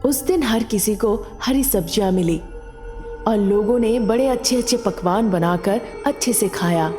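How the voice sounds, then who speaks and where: A woman's voice: medium pitch, dark tone, long reverb tail. A woman narrating a scripted story as a storyteller.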